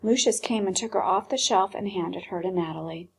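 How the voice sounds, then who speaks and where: A woman teacher reading a storybook aloud expressively, with dramatic pauses and hushed phrases. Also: mid-range voice, gravelly, husky.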